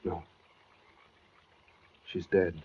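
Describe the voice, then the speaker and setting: A menacing, unhinged killer in a vintage radio thriller. His voice is lowish and rough-edged, brooding and eerily casual as he taunts. The 1940s recording sounds dull and aged. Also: little pitch movement, very slow, darkish tone, full character voice. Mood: cold, matter-of-fact. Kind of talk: 1940s radio suspense acting.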